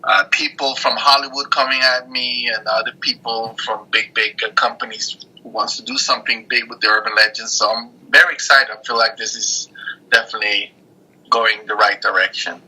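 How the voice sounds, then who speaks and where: A man's voice: fairly low pitch, husky. A man raised in Norway, a comic creator, talking earnestly as an interview guest over a call. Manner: earnest, steady, a bit halting. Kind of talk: casual interview. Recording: tinny phone-like line.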